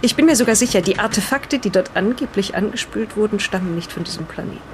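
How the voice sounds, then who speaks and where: A woman playing a polite, careful reader in an improvised audio play, speaking in a measured and slightly halting way. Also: mid-range voice, animated, a little hiss or hum, lightly acted. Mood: careful, studious. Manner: polite, measured, halting over unfamiliar words. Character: polite, careful woman reading an explorer's old diary. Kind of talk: improvised in-character audio play with diary reading.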